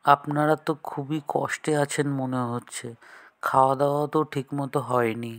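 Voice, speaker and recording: Creaky, even-toned, man acting as concerned young gentleman, courteous, helpful, cartoon story dialogue. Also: mid-range voice, moderate pace, natural voice. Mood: surprised, concerned.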